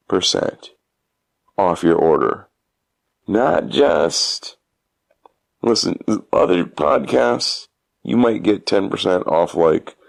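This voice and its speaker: A man giving a mock-announcer podcast intro and sponsor plug in a halting, theatrical, absurdly comic manner. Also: sits low, creaky. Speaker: guest caller giving a show intro.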